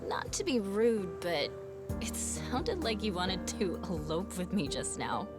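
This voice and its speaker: A woman's voice: medium pitch, very animated, a little slow, over loud music. A woman playing a frank, teasing young woman in a video game, with casual, naturalistic delivery. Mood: hesitant, serious. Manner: casual, wry, sighing.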